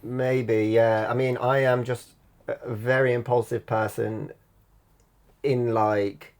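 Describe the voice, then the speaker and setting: A man who grew up in Singapore, a comedian guest in casual podcast chat, animated and quick to swear and laugh. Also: mid-range voice. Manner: animated, swears freely, breaks into laughing bits.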